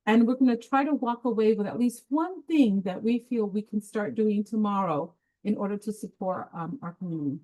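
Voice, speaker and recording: A woman's voice: medium pitch, brisk, hardly any pauses, warm tone, rough recording. A woman born in Peru, who grew up in New York, gives a casual, chatty webinar presentation over a video call, with frequent filler words.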